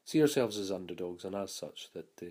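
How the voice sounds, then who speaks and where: A man's voice: low voice, lively intonation, a little fast, darkish tone, slightly rough. A man giving thoughtful, hesitant interview answers. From Scottish Highlands.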